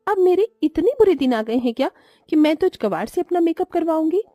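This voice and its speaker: Woman acting haughty young city wife, cartoon, high voice, animated delivery, scornful, quick-tempered, English phrases mixed in. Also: no room sound, clean studio sound. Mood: upset, disdainful. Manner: scornful, sharp-tongued, mixes in English. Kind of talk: cartoon moral story voice acting.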